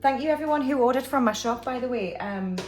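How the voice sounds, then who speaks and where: A woman's voice: a little high, fast, over music. A British woman in her forties, chatting casually and rambling a little as she films a budgeting vlog. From United Kingdom. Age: 40s. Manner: chatty and rambling, with frequent restarts and fillers. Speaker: budgeting vlogger. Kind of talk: casual chat while stuffing cash envelopes.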